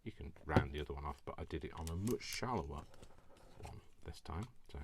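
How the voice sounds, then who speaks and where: Very deep-voiced, slightly hoarse man. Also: expressive, brisk, heavy background noise. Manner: rambling, self-deprecating, stumbles over words. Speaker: crafting tutorial host. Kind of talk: casual craft tutorial.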